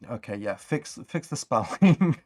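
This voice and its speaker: A man's voice: mid-range voice, animated, measured pace, faint music behind. A man chatting casually and encouragingly as he hosts a worldbuilding livestream. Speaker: stream host.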